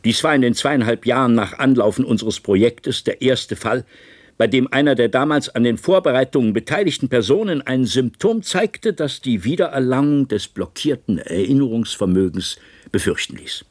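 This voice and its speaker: A man's voice: medium pitch, expressive, moderate pace, dry recording, natural voice. An actor playing an authoritative male project official, formally laying out evidence at a hearing in a radio drama. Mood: formal, explaining.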